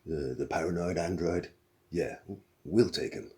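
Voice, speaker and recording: A man's voice: sits low, normal speed, a little mellow, lightly acted. A man doing a careless, offhand space captain. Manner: casual, offhand, stumbling. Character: laid-back, careless male space captain. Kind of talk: audiobook character voice.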